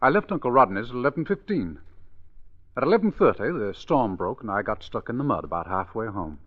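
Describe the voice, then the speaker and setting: Accused husband, animated voice. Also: male voice, medium pitch, fairly quick. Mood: weary. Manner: defensive, emphatic. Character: accused husband, weary and increasingly desperate suspect. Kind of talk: 1940s radio drama acting. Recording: dull old radio recording.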